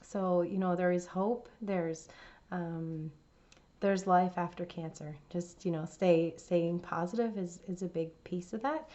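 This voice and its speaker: A woman hosting a cross-stitch vlog, her voice raspy from laryngitis, chatting casually with many fillers and restarts. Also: lowish voice, fairly even intonation, unhurried, mellow, dull audio. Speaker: cross-stitch channel host.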